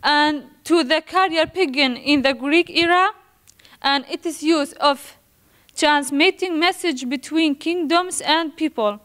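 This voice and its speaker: A young woman from Iraq with a high-pitched voice delivers a student presentation. She sounds nervous and reads carefully in a formal academic style. Her delivery is halting, and she often restarts words she has stumbled on. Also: a bit bright. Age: young adult.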